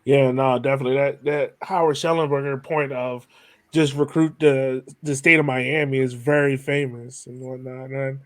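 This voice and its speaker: A man's voice: medium pitch, flat delivery, normal speed, dry recording. A man hosting a podcast in a casual, informal and enthusiastic conversational manner. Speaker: podcast host. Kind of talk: casual chat.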